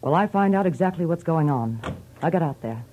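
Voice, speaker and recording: An American actress playing a cold, glamorous femme fatale, curt and scornful, in a 1940s radio drama. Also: female voice, low-pitched, lively intonation, fairly quick, narrow, tinny audio, a little hiss or hum. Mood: cold, menacing.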